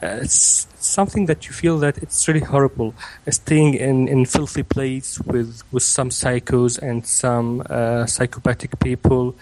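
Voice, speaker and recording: A man in his twenties, from Egypt and raised in Kuwait, answering interview questions hesitantly with many pauses and restarts. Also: medium pitch, fairly even intonation, a little mellow, a little hiss or hum, rough recording. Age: 20s. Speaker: interview guest. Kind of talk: remote interview.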